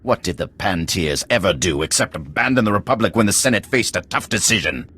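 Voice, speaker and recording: An actor playing an arrogant, boastful, defiant usurper in a video game. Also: male voice, sits low, expressive, a little fast, bright, forceful tone, husky, heavily acted. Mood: indignant, boastful. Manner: haughty, boastful. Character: arrogant, defiant middle-aged male usurper of a throne.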